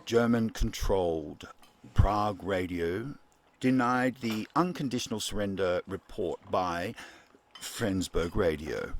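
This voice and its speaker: A man playing a solemn 1940s wartime radio newsreader, reading a formal bulletin in a measured, deliberate way. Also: deep voice. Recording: clearly noisy recording with clicks.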